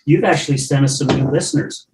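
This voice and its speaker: A man's voice: on the high side, fast. A man hosting a recovery podcast, chatting enthusiastically and laughing often with his guests. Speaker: podcast host. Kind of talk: casual chat. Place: room with a live audience. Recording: roomy, from a distance, faint noise.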